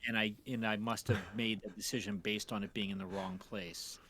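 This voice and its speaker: Man, fairly low voice, casual co-host chat, halting, thinks aloud and corrects himself. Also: fairly even intonation, a bit bright, a little hiss or hum. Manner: halting, reflective, self-correcting. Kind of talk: casual conversation about audio mixing.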